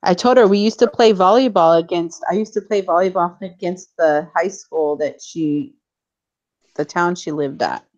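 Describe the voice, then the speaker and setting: A woman's voice: on the low side, moderate pace, a little mellow, muffled recording. A woman hosting a livestream, chatting casually while she works on a craft and laughing often. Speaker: livestream host.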